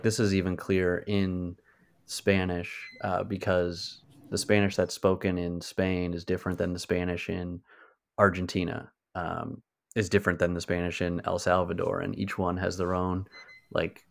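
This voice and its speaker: Very deep, flat, gravelly man. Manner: hedging, lots of "like". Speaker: podcast co-host. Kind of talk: casual conversation.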